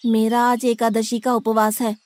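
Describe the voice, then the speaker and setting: A woman's voice: fairly high pitch, level tone, measured pace, darkish tone, dry recording, lightly acted. A woman voicing a greedy, simple-hearted male Brahmin cook in a cartoon, with a blunt, complaining way of talking. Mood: sulky. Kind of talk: Hindi cartoon moral-story acting.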